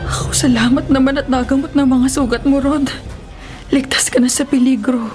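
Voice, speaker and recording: A woman's voice: sits high, steady tone, moderate pace, close to an everyday voice. An actress playing a caring, grateful Filipino woman in a radio drama, with an earnest delivery. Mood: grateful, concerned. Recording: dramatic music behind voice.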